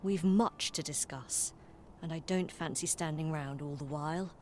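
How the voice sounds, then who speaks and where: A woman playing a composed, courteous officer of an empress in a fantasy video game, speaking in measured, archaic, formal phrases. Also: deep voice, mellow, a little hiss or hum, lightly acted.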